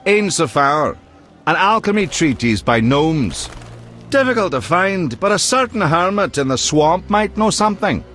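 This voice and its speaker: Chatty trader in a video game, swooping highish voice, music behind. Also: male voice, lively intonation, a little slow, fairly bright tone. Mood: helpful, musing. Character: chatty male book trader, helpful.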